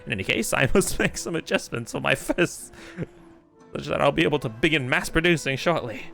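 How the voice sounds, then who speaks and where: A man's voice: on the high side, lively intonation, brisk, creaky, pushed and bright, faint music behind, heavily acted. A man reading out the game dialogue of an eccentric, boastful toy inventor in formal, wordy phrasing. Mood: determined.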